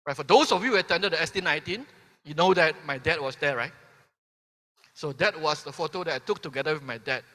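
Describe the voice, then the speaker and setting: A man's voice: on the high side, quick pace, sharp tone. A man in his fifties from Malaysia giving a motivational convention speech, tagging lines with "right?" and asking the crowd questions. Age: 50s. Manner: peppers sentences with "right?", asks the crowd questions. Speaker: keynote speaker. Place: large convention hall. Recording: long hall reverb from a stage.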